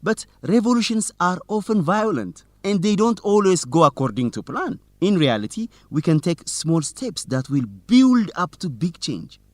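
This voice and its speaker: An actor playing an earnest, idealistic young student journalist in a radio drama, speaking with conviction. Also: male voice, high voice, big pitch swings, moderate pace, hardly any pauses, no echo. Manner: earnest, impassioned.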